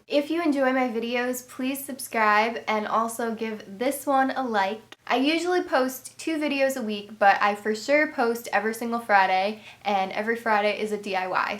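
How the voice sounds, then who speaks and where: A woman's voice: fairly high pitch, fairly bright tone. A woman hosting a craft tutorial, giving chatty step-by-step instructions, her voice congested and a little worn. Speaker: craft channel host.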